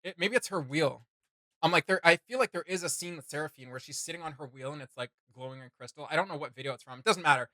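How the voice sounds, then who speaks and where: Bright-voiced man, rambling fan commentary. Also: a little high, fast, no echo. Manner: rambling, slangy, enthusiastic fan talk. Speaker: reaction video host. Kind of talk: casual unscripted reaction commentary.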